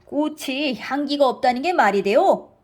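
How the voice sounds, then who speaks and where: A woman's voice: sits high, lively intonation, normal speed, a little mellow. A woman voicing a sceptical, grudging court minister in a children's storybook, pointed and challenging in manner. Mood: sceptical, disapproving. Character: sceptical, grudging male minister opposed to the queen.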